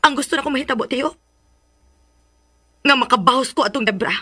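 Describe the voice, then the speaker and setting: A woman's voice: high voice, a little fast, sharp tone, no room sound. A woman playing a hurt, resolute young woman in a radio drama, speaking firmly. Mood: bitter, resolute.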